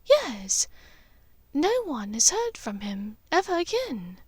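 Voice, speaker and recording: A woman's voice: sits high, huge pitch swings, slow, a little mellow, no echo, voice pushed up, full character voice. A woman voicing a refined, reflective mother in an audiobook, speaking hesitantly and confidingly. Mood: sad, reflective. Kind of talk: audiobook character dialogue.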